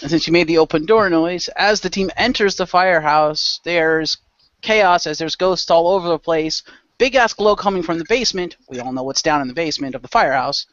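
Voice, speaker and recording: A man's voice: a little high, fairly quick, fairly bright tone, dull audio. A man acting as game master, narrating a role play in a casual, improvised and slightly sweary way. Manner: informal, mildly profane.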